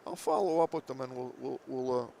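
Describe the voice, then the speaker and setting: A man hosting a live interview show on a busy trade show floor, enthusiastic and quick to cut in with praise. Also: mid-range voice, gravelly, a little mellow, slight background noise. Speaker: show host. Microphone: headset.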